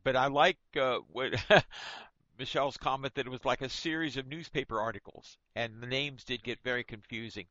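A man with a bright, forceful voice moderates an informal book group. His phrasing is appreciative and often restarts mid-thought. The sound is very dry and a little lo-fi. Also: mid-range voice, steady tone, normal speed, dry recording.

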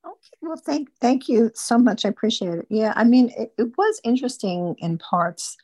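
A woman's voice: a little high, sing-song, normal speed, dark tone, breathy edge, rough recording. A woman talking casually as a member of a book group, in an informal conversational style.

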